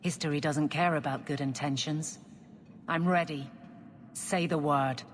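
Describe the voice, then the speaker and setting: A woman's voice: low-pitched, steady tone, measured pace, a little hiss or hum. A woman playing a blunt, dutiful guard captain in a video game cutscene, with a wry, wary manner. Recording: long reverb, game music behind.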